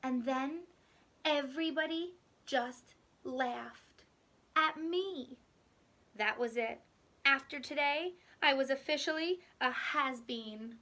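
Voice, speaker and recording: A woman with a high, bright voice reads a picture book to children. She takes her time like a teacher, telling the story expressively and leaving dramatic pauses. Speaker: teacher reading aloud.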